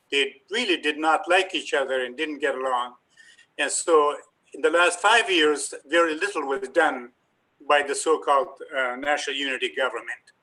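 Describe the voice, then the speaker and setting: An older professor from Afghanistan, a native Dari and Pashto speaker, gives a talk in a somewhat high voice. His tone is bright and forward, and he works through his points with frequent ums and uhs. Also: rough recording. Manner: measured, hesitant, many ums and uhs. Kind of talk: lecture to a community group.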